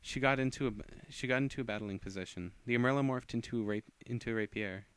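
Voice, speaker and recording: A man reads a scripted story aloud in a clear, smooth voice. His pitch sits in an ordinary male middle range. The delivery is halting, with phrases often begun again. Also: fairly bright tone, no room sound. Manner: halting, stumbles and rereads phrases. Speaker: amateur story reader. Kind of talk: scripted fan fiction narration.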